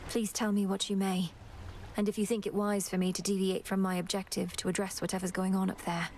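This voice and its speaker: A woman's voice: mid-range voice, flat delivery, brisk, darkish tone, slight background noise, close to an everyday voice. A woman playing a dutiful young witch princess in a video game, formal, polite and resolute. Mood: earnest. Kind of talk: scripted video game dialogue.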